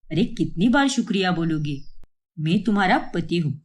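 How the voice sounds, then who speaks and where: A woman voicing a doting young husband in a cartoon story, speaking in a soft, reassuring way. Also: lowish voice, expressive, moderate pace, pitched below the performer's own voice. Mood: affectionate.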